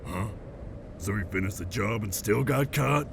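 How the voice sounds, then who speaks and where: A man's voice: lowish voice, animated, measured pace, vocal fry, noisy background. An actor playing a grumbling, dim, foul-mouthed male henchman in an audio drama. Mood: baffled, scornful.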